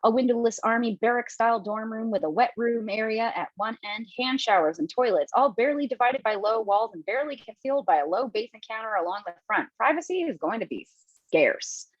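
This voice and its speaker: A woman's voice: on the high side, lively intonation, fairly quick, no echo, highs cut off. A woman reading stage directions aloud in a table read, plainly, with casual asides.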